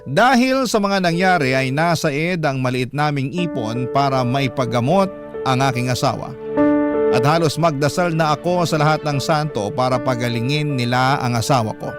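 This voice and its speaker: A man's voice: mid-range voice, moderate pace. A man with a Filipino accent, a radio host reading a listener's letter as dramatic first-person narration. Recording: music bed under the voice. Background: background music.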